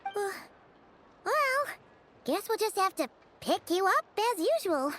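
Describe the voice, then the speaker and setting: A woman voicing a tiny, excitable, childlike fairy companion in a video game, perky and a little whiny. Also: extremely high pitch, slow, a little hiss or hum, heavily acted. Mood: relieved. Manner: perky, whiny, speaks of herself in third person.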